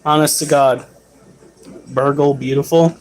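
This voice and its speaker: A man's voice: mid-range voice, fairly even intonation, unhurried, darkish tone, slight background noise. A man hosting a casual livestream, chatting and explaining how to care for his pets while answering chat. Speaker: livestream host and pet keeper.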